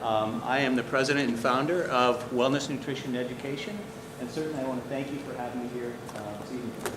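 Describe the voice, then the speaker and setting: A middle-aged man with a loud, mid-range voice gives a business pitch at a public meeting. He pads his points with fillers and checks in with "okay?" and "all right?" There is a faint hiss behind him. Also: steady tone, fast. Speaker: wellness program presenter. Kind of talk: business presentation. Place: school district meeting room.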